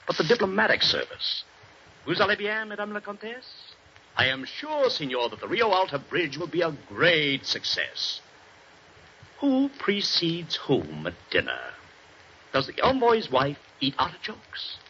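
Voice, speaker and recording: An actor playing a restless diplomat husband in a 1940s radio drama, with lively, clipped, emphatic speech. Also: male voice, high-pitched, a little slow, a little hiss or hum, heavily acted. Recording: muffled 1940s radio broadcast with music.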